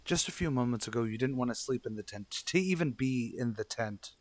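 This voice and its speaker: A man playing a stern, demanding father in an old-style radio drama, speaking formally and with measured admonishment. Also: medium pitch, animated, lightly acted. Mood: stern, disappointed. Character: stern, demanding 1940s father scolding his young son. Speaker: voice actor.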